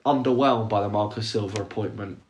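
Opinionated man in casual podcast chat. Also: fairly low pitch. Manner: opinionated, quick and talkative, trails into asides. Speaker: podcast co-host.

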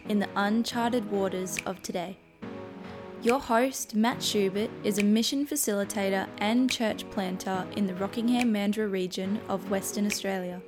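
Woman doing a scripted podcast intro, mid pitch voice, music playing behind her. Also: moderate pace. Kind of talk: scripted narration.

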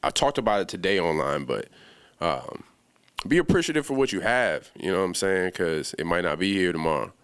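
A young man from the Bahamas talks as a guest on a podcast, relaxed and full of slang. His voice sits very low and comes across smooth and resonant. The room sounds dry and close. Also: fairly quick, no echo. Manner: laid-back, slangy, many fillers. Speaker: music producer guest. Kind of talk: casual podcast interview.

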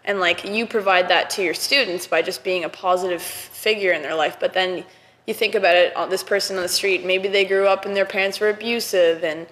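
A woman's voice: medium pitch, fairly even intonation, brisk, a bit bright. A woman talking casually and thoughtfully in a podcast conversation, using "like" often. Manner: casual, thoughtful, many "like" fillers. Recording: reverberant room.

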